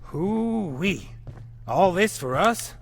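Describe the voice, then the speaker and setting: An actor playing a cocky, foul-mouthed, wisecracking survivor in a video game, mocking and swaggering. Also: male voice, sits high, animated, extremely slow, vocal fry, a little hiss or hum, exaggerated voice. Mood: taunting, jaunty. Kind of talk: video game cutscene acting.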